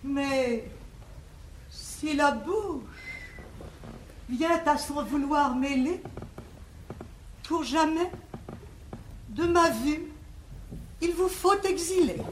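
An actress playing a vain, coquettish older spinster aunt in a classical verse comedy, with grand, affected 1950s stage declamation. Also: female voice, high voice, drawn-out words, pauses often. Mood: coy, haughty. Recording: echoey, hissy 1950s theatre recording.

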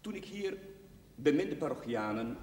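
An actor playing an earnest young chaplain in a television drama, preaching in solemn, measured, declamatory phrases. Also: male voice, medium pitch, big pitch swings, background noise. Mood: solemn, reverent. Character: earnest young newly arrived chaplain preaching to his parish. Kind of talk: solemn rhetorical sermon, television drama acting. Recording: echoey church, distant from the microphone.

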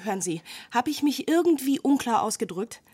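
An actress playing a frightened but stubborn young woman in a radio drama, slightly husky, curt and insistent. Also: a little high, big pitch swings, moderate pace, warm tone. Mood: afraid, indignant. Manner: insistent, curt, tense delivery.

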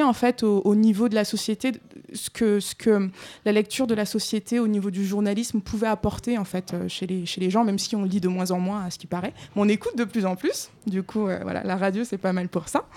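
A woman's voice: mid-range voice, warm tone. Hesitant young woman on the radio. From Congo. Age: 20s. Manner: thoughtful, hesitant, many fillers. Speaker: guest, freelance journalist and podcaster. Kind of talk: radio interview.

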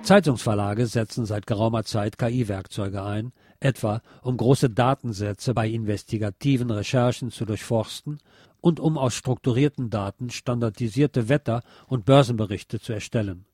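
Male narrator, medium pitch, measured formal delivery, scripted feature essay, very dry close sound. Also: darkish tone, breathy edge, no echo.

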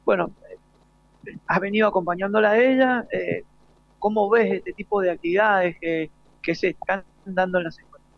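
A man's voice: high voice, moderate pace, gravelly. An Argentine man hosting a live, informal radio panel interview with guests. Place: live outside broadcast at a school, dry sound. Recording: slightly muffled live radio broadcast.

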